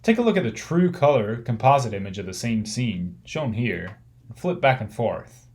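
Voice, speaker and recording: A man narrating an instructional slide lecture, explaining things step by step in measured, clearly organised phrases. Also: medium pitch, big pitch swings, a little mellow.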